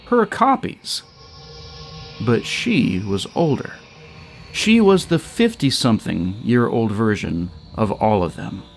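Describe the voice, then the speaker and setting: A man narrates scripted fiction as a storyteller. His mid-range voice rises and falls with lots of animation, and quiet music plays behind him. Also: medium pitch.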